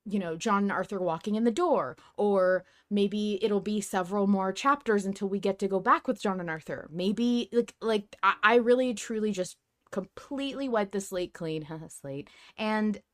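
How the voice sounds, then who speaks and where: A woman hosting a podcast in a casual fan discussion, talking in an animated, excitable way and acting out her reactions. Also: medium pitch, moderate pace. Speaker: podcast host.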